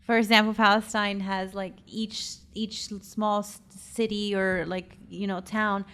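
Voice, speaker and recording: A woman's voice: mid-range voice, level tone, a little slow, bright, forceful tone, clean tone. A woman co-hosting a casual interview podcast, chatty and full of "like" fillers. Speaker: podcast co-host.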